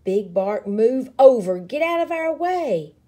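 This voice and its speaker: A woman voicing small, excitable prairie dogs who chatter and exclaim eagerly in a picture-book reading. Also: a little high, slow pace, pitched above the performer's own voice, full character voice. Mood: eager, defiant.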